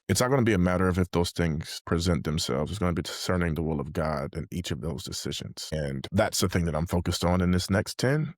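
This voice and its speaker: A man's voice: sits low, no echo, studio quality. A man in his late twenties speaking earnestly and reflectively as a guest in a conversational interview, often dropping in "like". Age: late 20s. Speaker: podcast guest, mentor.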